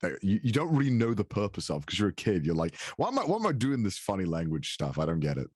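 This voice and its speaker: Low-voiced man, dry close sound. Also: huge pitch swings, fast talker, darkish tone, husky, no echo. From North Wales. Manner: laughs often, swears casually, trails off and restarts. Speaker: guest. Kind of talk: casual podcast chat.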